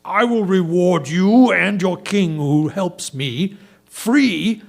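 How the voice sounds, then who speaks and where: A man's voice: high voice, slow pace, vocal fry, voice pushed up, heavily acted. A man voicing a mighty, proud lion king for a storytelling, bellowing imperiously and desperately. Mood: pleading, solemn.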